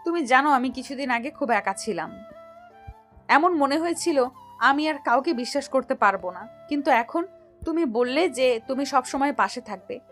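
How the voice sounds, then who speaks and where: A sweet, vulnerable young woman acted by a woman in a romantic audio story. Her voice is high and soft, with a hesitant, questioning way of speaking, over light music. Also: animated, moderate pace. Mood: sweet, coaxing. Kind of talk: Bengali audio story acting.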